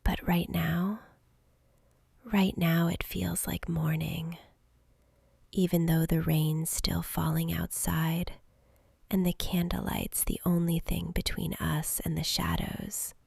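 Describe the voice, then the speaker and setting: A woman's voice: on the low side, flat delivery, gravelly, darkish tone, slightly rough, no room sound, exaggerated voice. A woman voicing a lonely, centuries-old vampire girl in an intimate ASMR roleplay, whispering breathily and close to the mic with tender, hesitant longing. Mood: tender, wistful. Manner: slow, intimate, hesitant pleading. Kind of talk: whispered soft-spoken ASMR romantic roleplay.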